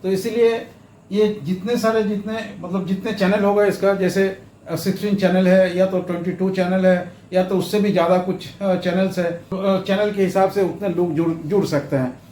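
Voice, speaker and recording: A man giving a step-by-step tutorial, explaining haltingly and repeating himself, with English terms mixed in. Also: sits high, fairly even intonation, mellow. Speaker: instructor, tutorial presenter. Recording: roomy echo, faint background noise.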